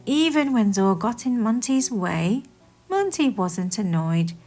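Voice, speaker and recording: A woman narrates a children's story with a lively, swinging intonation and an unhurried pace. Her read-aloud is expressive and deliberate, with music playing underneath. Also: mid-range voice.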